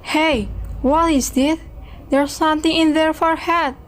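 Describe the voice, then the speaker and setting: A woman voicing a worried, insistent aunt, with a high, pressing, distant voice. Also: fairly even intonation, measured pace, a little hiss or hum.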